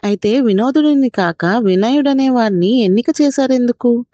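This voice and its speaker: A woman's voice: fairly high pitch, normal speed, mellow, no echo, highs cut off, close to an everyday voice. A woman voicing a curious village wife who puts puzzled questions to her husband in a children's story. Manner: inquiring, puzzled.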